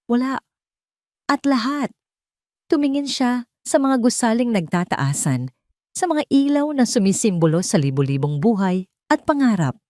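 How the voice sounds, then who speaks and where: Woman, lower pitch, scripted dramatic story narration, dry recording. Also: mellow, no room sound.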